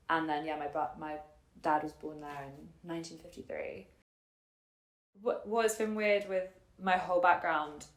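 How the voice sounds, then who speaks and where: A woman in her twenties telling her personal story casually and reflectively, thinking aloud in halting, informal phrases. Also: low voice. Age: 20s. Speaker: interviewee telling her family and life story. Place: indoor room in Germany. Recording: roomy echo on the voice.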